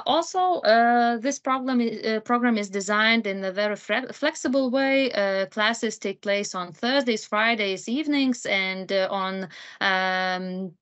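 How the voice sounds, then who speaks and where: A woman presenting a master's program, speaking haltingly with many fillers. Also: medium pitch, a bit bright, muffled recording. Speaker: program coordinator. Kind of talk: informational presentation.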